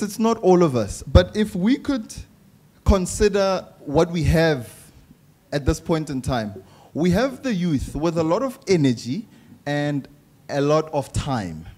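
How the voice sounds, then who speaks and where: A young man speaking for the youth on a panel, earnest and measured. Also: a little high, darkish tone. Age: young adult. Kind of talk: panel discussion.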